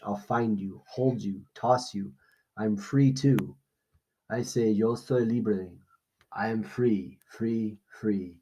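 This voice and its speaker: A man with a fairly low, very mellow, soft-edged voice speaks as a teacher in an informal lesson. He explains and reads aloud in an instructional manner, checking in with his students as he goes. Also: breathy edge, poor audio. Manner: explanatory, checks understanding with short questions.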